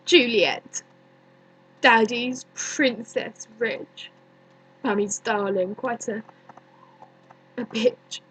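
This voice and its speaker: A woman performing a dramatic stage monologue as a bitter, grieving young woman, deliberate and accusing, with many pauses. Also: a little high, fairly bright tone, slightly rough, heavily acted, rough recording. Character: bitter grieving young woman haunted by her dead cousin.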